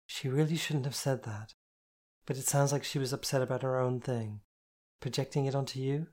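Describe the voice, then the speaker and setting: A woman voicing a calm, caring woman who gently soothes and reassures her partner. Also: very deep voice, moderate pace. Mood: soothing.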